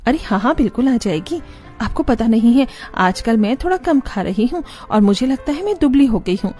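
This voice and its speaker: A woman plays a young cartoon wife with a high, pleading voice. She sounds childlike and whiny as she delivers scripted story dialogue. Soft music runs underneath. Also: expressive, moderate pace, natural voice. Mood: eager. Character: plaintive young overweight wife, childish and food-loving.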